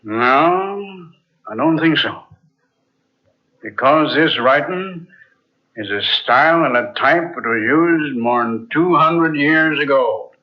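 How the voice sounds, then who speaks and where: An actor playing a gruff, commanding ship captain who brushes off wild talk, in 1940s radio acting style. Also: male voice, a little high, lively intonation. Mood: skeptical. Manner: brisk, commanding, dismissive. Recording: lo-fi old radio recording from cassette.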